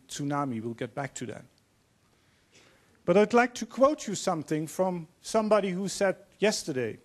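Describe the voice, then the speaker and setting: Man, 50s, Dutch accent, higher voice, earnest forum moderator on a handheld mic. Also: darkish tone. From Netherlands. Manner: earnest, measured, quoting speakers. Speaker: panel moderator. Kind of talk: formal opening speech. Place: large auditorium on stage.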